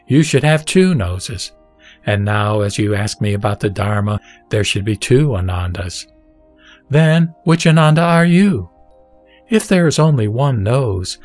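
A man with a mid-pitched voice recites scripture like an audiobook narrator. His delivery is measured and formal, as if teaching from the text. Music plays behind him. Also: a little mellow. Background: background music.

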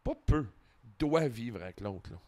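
A man in his forties with a Franco-Ontarian French accent, a podcast guest talking casually in a living room, animated and full of restarts. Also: mid-range voice, measured pace. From Ontario, Canada. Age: 40s. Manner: animated, lots of restarts and swearing. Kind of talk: casual chat. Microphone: handheld mic.

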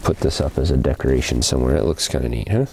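A man casually narrating as he cleans out an old hive outdoors, thinking aloud with small pauses. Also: rumbling low, creaky, a little mellow, a little hiss or hum. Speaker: hobby beekeeper and channel host. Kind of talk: casual narration while working. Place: outdoors beside a wooden beehive.

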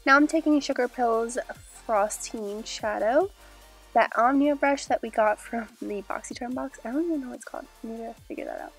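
A woman's voice: fairly high pitch, a little fast, slightly rough, faint music behind, rough recording. A young woman with a stuffy, nasal voice from a cold, casually talking through a makeup tutorial step by step. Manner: chatty, upbeat, describing each step. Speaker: beauty vlogger. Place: small indoor room with glitter backdrop.

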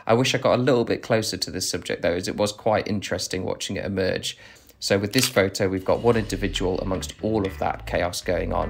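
Low-pitched man narrating, gravelly edge. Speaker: host and narrator of a nature photography vlog. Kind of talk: reflective voice-over narration.

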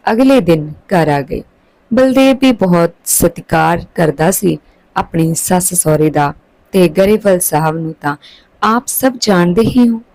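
A woman's voice: fairly low pitch, darkish tone, dry recording, rough recording. A woman reading a scripted story aloud as a storyteller.

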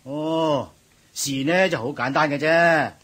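A bossy, blustering shop manager. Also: male voice, a little high, slow. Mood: explaining. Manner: loud, bossy, scolding. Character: blustering middle-aged supermarket manager. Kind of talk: 1980s radio drama acting.

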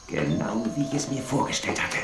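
Shrewd sheriff, hugely varied intonation. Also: male voice, lowish voice, very animated, a little fast, gravelly, echoey room, background noise. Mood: hushed, wary. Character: cautious, shrewd male Western sheriff. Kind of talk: children's audio drama acting.

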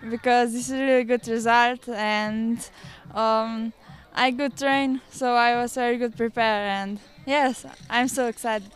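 Very clear-voiced Slovenian teenage girl. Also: a little high, steady tone, unhurried, few pauses, poor audio. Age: teens. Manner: simple broken English. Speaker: athlete being interviewed. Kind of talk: unscripted interview. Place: outdoors at a snowy ski venue. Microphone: handheld reporter microphone.

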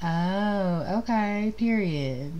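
A woman co-hosting a casual livestream chat, easygoing and quick to agree, with playful asides. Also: medium pitch, lively intonation, dull audio. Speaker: livestream co-host.

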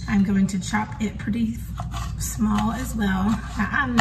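A roomy, distant recording with heavy noise carries an American woman in her thirties. She talks viewers through a recipe in an informal, instructional way, at a middling pitch. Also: steady tone, unhurried. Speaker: home cook hosting a recipe video. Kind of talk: casual cooking demonstration. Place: home kitchen, indoors. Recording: roomy, noisy kitchen, distant mic.

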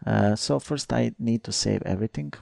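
A low, gravelly man from Croatia, speaking as a non-native talker in a screencast. His voice is dark and mellow, recorded close and dry at a desktop mic. He explains step by step and hesitates often with fillers. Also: moderate pace, dry recording. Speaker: software tutorial presenter. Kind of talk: screencast tutorial.

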